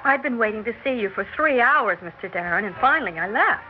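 A woman's voice: fairly high pitch, lively intonation, brisk, natural voice. A young woman playing a persistent, talkative aspiring actress, earnest and flustered, in 1960s radio drama style. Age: young adult. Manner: earnest, flustered, stammering plea. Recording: dull vintage radio recording with hiss.

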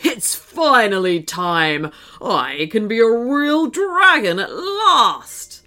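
A woman's voice: fairly high pitch, sing-song, bright, forceful tone, faint music behind, heavily acted. A woman voicing an evil chocolate dragon in a children's story, menacing and sneering as it draws out its words. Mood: exultant. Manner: menacing, sneering, drawn-out delivery.

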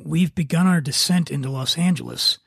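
A man's voice: fairly high pitch, a little fast, creaky, husky, no room sound, studio quality. A man reading the part of an insistent, officious flight attendant in a formal manner for a script reading. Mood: firm. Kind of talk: dramatic table read of TV pilot.

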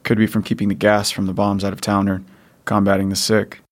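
Level-headed survivor dad played by a man, lowish, very quick, matter-of-fact, post-apocalyptic radio play. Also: completely flat delivery, natural voice. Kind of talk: post-apocalyptic audio drama acting.

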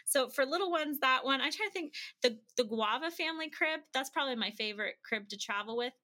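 A woman talks casually as a guest in an interview. Her voice sits a little high and has a bright, keen edge. She speaks in loose, conversational anecdotes, like a parent swapping tips. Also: lively intonation, a little fast. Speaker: interview guest, traveling mother.

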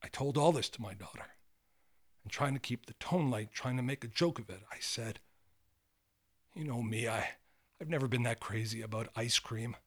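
Man acting old guy full of regret in an audio drama, subdued and halting, slightly husky voice. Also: mid-range voice, natural voice. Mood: wry. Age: older. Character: remorseful dying old man, recovering addict and ex-convict.

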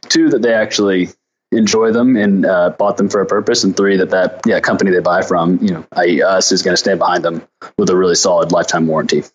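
A man's voice: low-pitched, lo-fi sound. A man from Arkansas with an American accent, answering interview questions in an easygoing, informal way as a company founder. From Arkansas, United States.

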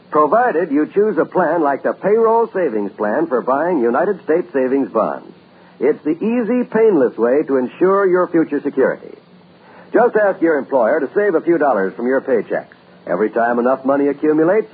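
A man announcing a vintage radio show and reading a savings-bond appeal in an emphatic, drawn-out way. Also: a little high, breathy edge. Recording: tinny old radio broadcast with music.

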